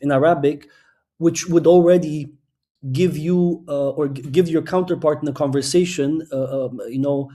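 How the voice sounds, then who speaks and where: Warm-voiced man, thoughtful guest. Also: a little high, fairly even intonation, moderate pace. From Romania. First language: Romanian. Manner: thoughtful, explains at length with frequent restarts. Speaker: podcast guest. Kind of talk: casual interview talk.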